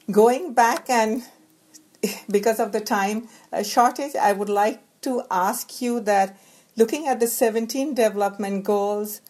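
A woman's voice: medium pitch, a little mellow. A woman moderating a panel, putting questions in a polite, somewhat formal way. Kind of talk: moderated discussion, posing questions.

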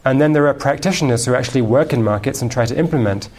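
A man's voice: medium pitch, fast, a little mellow, slight background noise. A man from England with an English accent tinged with American, answering interview questions fluently, with frequent ums and repeated words. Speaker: guest author.

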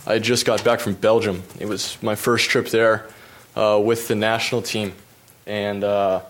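A young American man, a pro bike racer, telling stories about his races while showing slides, relaxed and full of fillers, laughing at himself now and then. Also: on the low side, moderate pace, slight background noise. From United States. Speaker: pro cyclist giving a talk. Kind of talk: storytelling presentation with slides.

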